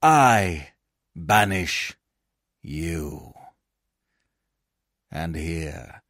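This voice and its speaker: A man's voice: high-pitched, fairly bright tone, dry recording, clean studio sound, voice pushed up, heavily acted. A man playing a proud, furious Roman general in a dramatic reading, scornful and defiant.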